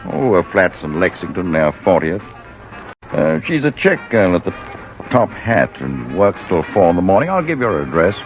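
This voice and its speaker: Brief: evasive man, very low voice with animated pitch, 1950s radio play, dull old recording. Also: moderate pace, faint music behind. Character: smooth evasive middle-aged man, host of a party. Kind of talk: 1950s radio drama acting. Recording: muffled old radio broadcast.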